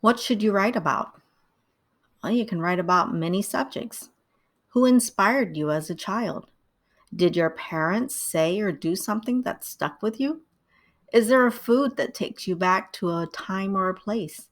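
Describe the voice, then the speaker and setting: A middle-aged woman, born in Vietnam and raised in the United States, calmly narrating a scripted writing tutorial. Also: medium pitch, measured pace.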